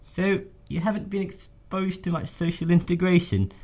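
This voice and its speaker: A man acting a sardonic, theatrical gentleman from a classic English novel in a radio drama. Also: high-pitched, normal speed. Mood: brooding, sardonic. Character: brooding, sardonic men from classic English novels, lovers at tea.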